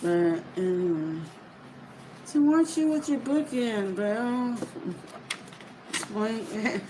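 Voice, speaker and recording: A woman's voice: fairly high pitch, lively intonation, takes it slowly, noisy background. A woman chatting casually to viewers in an informal, meandering way, often trailing off mid-thought. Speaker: reader of books for listeners. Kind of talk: casual rambling chat to viewers.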